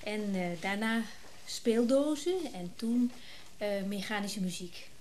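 A woman's voice: medium pitch, slow, a little mellow, a little hiss or hum, close to an everyday voice. A woman playing a chatty, candid rich wife with humble roots, often hesitating, in a naturalistic radio drama. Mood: candid, reflective. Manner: chatty, hesitant, breaking off mid-thought.

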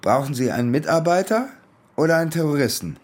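Volatile, menacing soldier, swooping voice. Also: male voice, on the high side, big pitch swings, quick pace, a little mellow. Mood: taunting. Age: young adult. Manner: volatile, barking orders, abruptly falsely friendly. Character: menacing unhinged young soldier holding teenagers hostage. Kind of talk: radio drama acting.

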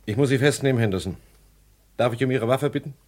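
An actor playing a stern, regretful police detective in a German crime radio play, speaking in a measured, formal way. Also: male voice, medium pitch, a little mellow, lightly acted. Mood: grave, firm. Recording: husky-sounding vintage radio play recording.

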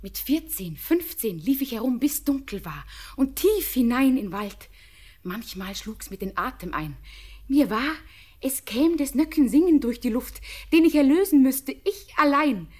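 A woman playing a gentle, dreamy young country woman, speaking lyrically in a poetic radio play. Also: high voice, expressive, unhurried. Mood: dreamy wonder. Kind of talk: classic German radio play acting, poetic.

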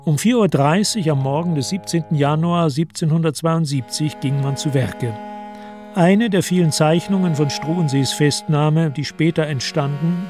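Measured male narrator, slightly high-pitched. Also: warm tone. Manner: measured, deliberate storytelling. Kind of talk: scripted literary narration in a German radio play.

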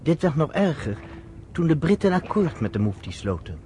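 A man's voice: fairly high pitch, sing-song, brisk, dark tone. A man narrating a scripted radio drama as its storyteller. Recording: faintly noisy old radio recording.

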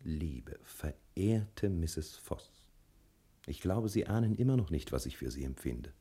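An actor playing a smooth-talking, scheming male detective who ingratiates himself, in 1960s German radio crime drama style. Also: low voice, animated, measured pace, mellow, exaggerated voice. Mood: insinuating, wooing. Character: suave scheming male detective courting a client's wife.

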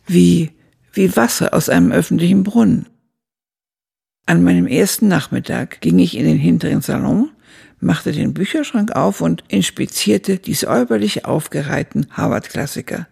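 An elderly woman narrates her youth reflectively in a radio drama. Her voice is heavily fried and very raspy. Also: fairly low pitch, animated, normal speed, mellow, exaggerated voice. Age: older. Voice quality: husky, gravelly. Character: aged woman looking back on her youth.

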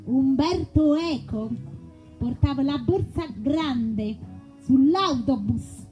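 A man acts out a cranky old Roman woman in a live comedy monologue, extremely high in pitch. She scolds crudely and menacingly, with music faintly behind her. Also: slow pace, warm tone, muffled recording. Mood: sinister threatening. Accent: Roman Italian. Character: cranky, threatening old woman riding the bus.

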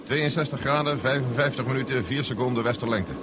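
An actor playing a practical general in charge, speaking matter-of-factly in a 1970s radio drama. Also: male voice, medium pitch, fast talker, close to an everyday voice. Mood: practical, brisk. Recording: dull, noisy 1970s radio, vehicle sounds.

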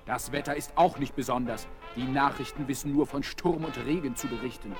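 An actor playing an anxious, gruff man waiting for news of a friend's flight in a children's radio play. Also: mid-range voice, steady tone, a little fast, music in the background, close to an everyday voice. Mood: tense, glum.

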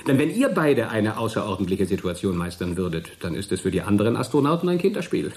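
An actor playing a jovial, smug space program chief in a German radio play, with a hearty, patronizing manner. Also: male voice, lowish voice, big pitch swings, quick pace. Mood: smug, congratulatory.

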